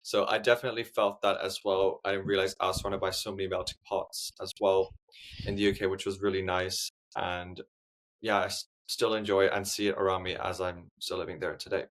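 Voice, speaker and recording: Young podcast host from hong kong, polite, reads his questions fast. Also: low-pitched, flat delivery, a bit bright. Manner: polite, scripted-sounding questions. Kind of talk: hosting an interview podcast.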